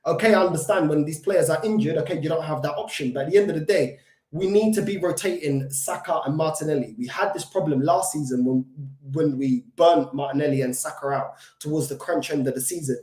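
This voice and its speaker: A man with a London accent, pitched a touch high, rants about his football team in a reverberant, echoey room. He is still recovering from illness, so the voice is not at full strength. He is emphatic and repetitive, stumbles through restarts, and fills his pauses with ums. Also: darkish tone. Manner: frustrated, emphatic, repeats himself with many fillers and restarts. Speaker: football fan channel host. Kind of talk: solo fan reaction commentary.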